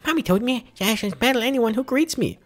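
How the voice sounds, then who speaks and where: A whiny little preschooler from a video game, put on by a man in a squeaky falsetto. The voice is high and rushed, with faint music underneath. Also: expressive. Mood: childish.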